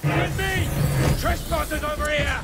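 An actor voicing hostile soldier guards in a game, barking alarms and orders. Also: male voice, very high voice, steady tone, a little slow. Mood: alarmed. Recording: distant in game mix with loud music.

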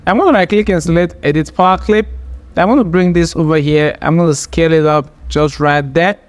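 A man hosting a software tutorial, talking through each step informally and often checking in with "all right". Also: on the high side, a little fast. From Nigeria. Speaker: tutorial host. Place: voice-over for a screen recording.

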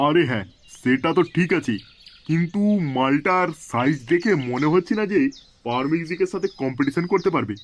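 Man voicing a blustering hot-tempered gang boss, fairly high-voiced. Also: fairly quick, slight background noise, natural voice. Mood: irritated, bossy. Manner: blustering, swearing, threatening. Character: foul-mouthed hot-tempered gang boss of a sound-system crew. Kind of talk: comedy cartoon dubbing.

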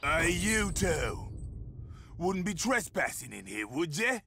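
An actor playing a huge, crude, menacing brawler in a video game, with a gravelly drawl. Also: male voice, a little high, big pitch swings, slow, bright, forceful tone, a little hiss or hum, exaggerated voice. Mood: taunting menace. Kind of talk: video game cutscene acting.

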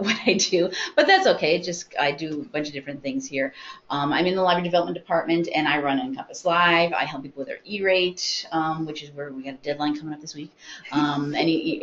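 An American woman hosting an informal talk about books, chatty and explanatory, given to small digressions. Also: low voice. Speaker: webinar host, library consultant. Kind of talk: informal book talk to colleagues. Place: meeting room with colleagues around a table. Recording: dull, noisy conference room audio.

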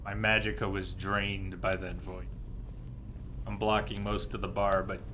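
A man's voice: sits low, little pitch movement, unhurried, noisy background. A man in his thirties giving casual live gameplay commentary, chatting with his viewers in a conversational way. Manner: conversational, reacting to chat. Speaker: game streamer. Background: game sounds.

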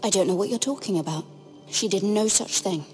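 An actress playing a young noble handmaiden in a video game, earnest and guarded, speaking politely and with care. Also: female voice, medium pitch, fairly quick, darkish tone, natural voice, poor audio. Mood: defensive. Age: young adult. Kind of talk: dramatic video game dialogue. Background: music.

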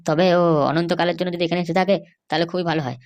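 A woman's voice: lowish voice, little pitch movement, rapid-fire, a little mellow, lightly acted. A woman voicing a defiant, hot-headed young married man in a comic cartoon, blustering and argumentative. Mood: eager.

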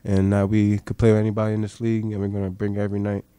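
A man's voice: lowish voice, monotone, racing, warm tone, smooth, dry recording. A young man, a college basketball player, giving short, matter-of-fact answers at a postgame press conference. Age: young adult. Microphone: table mic.

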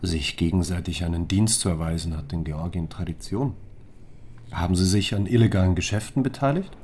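An actor playing a businesslike police investigator in a radio crime drama, direct and questioning. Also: male voice, low-pitched, a little fast, darkish tone, slight background noise, close to an everyday voice. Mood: probing.

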